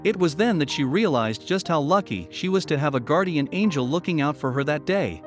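Lively higher-pitched man narrating. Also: a little fast. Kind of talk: scripted story narration. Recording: voice-over with music bed. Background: music.

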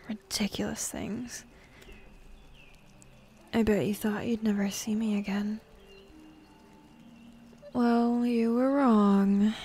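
A young woman voicing a bossy, teasing demon woman in an intimate, half-whispered ASMR roleplay, with a husky, breathy voice and a sardonic drawl. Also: fairly high pitch, mellow, exaggerated voice. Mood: teasing, smug.

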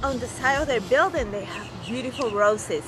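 A woman's voice: fairly high pitch, normal speed, background noise. A woman from Peru, co-hosting a casual outdoor vlog with short, easygoing remarks. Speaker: vlog co-host. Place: outdoors in a town.

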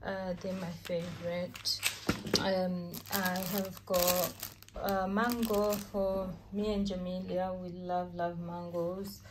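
A woman, a mother, chatting casually to camera about her groceries, unhurried and full of ums and uhs. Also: fairly low pitch, flat delivery, noisy background. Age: adult mother. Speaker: vlogger showing a grocery haul. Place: home, at a table with groceries.

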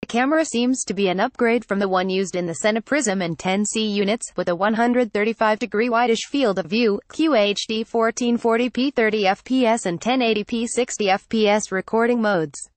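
A woman's voice: fairly high pitch, brisk, hardly any pauses, no echo. A woman narrating scripted product news, reading a written article word for word. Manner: reads written article word for word, spelling out abbreviations.

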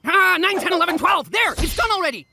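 A man's voice: very high-pitched, expressive, fast, vocal fry, over loud music, exaggerated voice. A man voicing an impatient, bossy, sarcastic boy superhero in a children's cartoon, emphatic and exasperated.

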